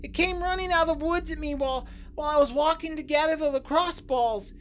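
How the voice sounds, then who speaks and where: A man voicing a panicked teenage boy who stammers and rushes his words. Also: very high-pitched, a bit bright, pitched above the performer's own voice. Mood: shaken. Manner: frantic, stammering.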